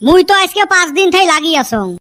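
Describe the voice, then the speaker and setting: A woman's voice: very high-pitched, animated, quick pace, fairly bright tone. A woman voicing a scheming male villager in a cartoon, speaking a Rangpur dialect and teasing his friend. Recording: noisy homemade recording.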